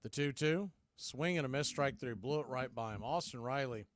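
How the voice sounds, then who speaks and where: A man's voice: medium pitch, moderate pace. An American man calling live baseball play-by-play as an announcer.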